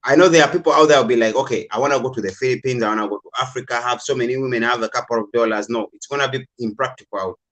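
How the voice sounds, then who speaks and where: A man's voice: medium pitch, rapid-fire, few pauses, a bit bright. A man from Uganda calls in to talk things over. His talk is loose and casual. He leans on fillers and qualifiers as he works through his points. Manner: hedging, repeats "I would say" and "like". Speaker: caller. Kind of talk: casual call-in discussion.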